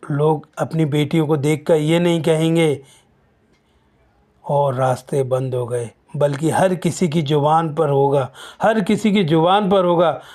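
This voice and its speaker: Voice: medium pitch, mellow, natural voice. A narrator voicing a proud, progressive older father in a storybook tale, earnest and declamatory.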